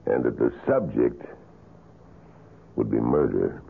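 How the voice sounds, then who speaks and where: Grave knowing guy, slow gravelly rumble, old radio play, tinny sound. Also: expressive, exaggerated voice. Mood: grave, ominous. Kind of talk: 1970s radio drama acting. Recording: muffled, phone-like old radio recording.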